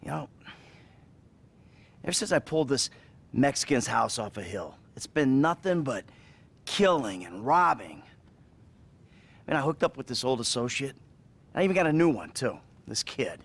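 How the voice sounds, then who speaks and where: Husky, troubled American criminal. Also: male voice, mid-range voice, big pitch swings, normal speed, pauses often, fairly bright tone, full character voice. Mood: rueful, defensive. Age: middle-aged. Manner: rambling, hesitant, sweary, self-justifying. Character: troubled, volatile middle-aged male career criminal confessing in therapy. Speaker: therapy patient. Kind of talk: naturalistic video game cutscene acting.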